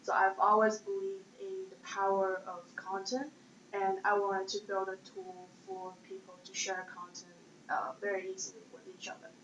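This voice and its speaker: A woman's voice: mid-range voice, little pitch movement, moderate pace, fairly bright tone. A woman in her twenties with a Chinese accent, answering interview questions conversationally as a startup founder. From Shanghai, China. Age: 20s. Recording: echoey room, dull sound, faint noise.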